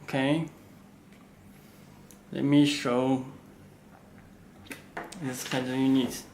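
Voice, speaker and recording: A man who is not a native English speaker, giving an unscripted product walkthrough in halting, simple phrases with frequent pauses. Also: mid-range voice, flat delivery, a little mellow. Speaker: product demonstrator. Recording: faint background noise.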